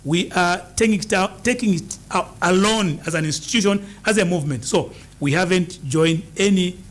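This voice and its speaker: A man's voice: high-pitched, normal speed. A man, a party leader answering interview questions in an emphatic, campaigning way, repeating his key points. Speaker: political party leader as studio guest.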